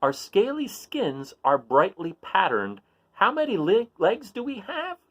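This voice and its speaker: A man's voice: high voice, sing-song, measured pace, fairly bright tone. A man hosting a children's read-aloud, reading a picture book and chatting in an encouraging way, often putting questions to his listeners.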